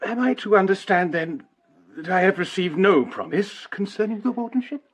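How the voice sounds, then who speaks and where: A man's voice: high voice, expressive, normal speed, breathy edge, no echo. An actor with an English accent playing a meek, anxious, long-suffering clergyman in a period radio drama, mild and hesitant in manner. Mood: anxious, protesting.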